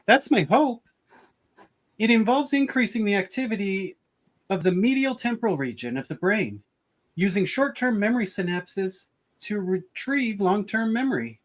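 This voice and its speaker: A performer playing a composed, careful starship doctor in a fan radio play, measured and explanatory. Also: mid-range voice, expressive, lightly acted. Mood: calm, hopeful.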